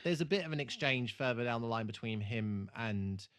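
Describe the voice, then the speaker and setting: A man co-hosting a casual TV rewatch podcast, halting as he thinks aloud, with some swearing. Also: medium pitch, lively intonation, a bit bright, smooth. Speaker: podcast co-host.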